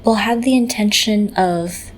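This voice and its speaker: A woman leading a guided meditation in a gentle, unhurried manner, often pausing in the middle of phrases. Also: medium pitch, slight background noise. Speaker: meditation teacher.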